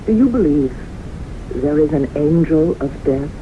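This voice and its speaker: Casting: distraught widow near forty, radio melodrama, intense and halting, very animated, noisy dull recording. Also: female voice, sits low. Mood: grave, haunted. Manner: intense, dramatic, halting delivery. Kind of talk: old-time radio drama acting.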